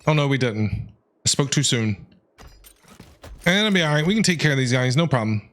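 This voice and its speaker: A man's voice: medium pitch, animated, fairly quick, fairly bright tone. A man doing casual live gameplay commentary as a streamer, playful and chatty, reacting in short remarks. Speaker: game streamer.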